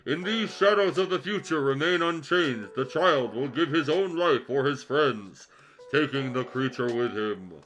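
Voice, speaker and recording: A man voicing a grave masked male spirit in a Darth Vader style, speaking in slow, solemn, ominous pronouncements. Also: mid-range voice, a bit bright, natural voice, rough recording.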